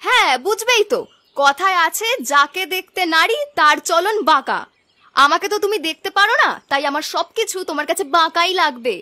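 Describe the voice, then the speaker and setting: A woman acts out a spoiled, ambitious young granddaughter in a cartoon folk tale. She has a piercing, very high voice and a sneering, sharp tongue. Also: expressive, normal speed, heavily acted. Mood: resentful. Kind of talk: animated folk tale acting.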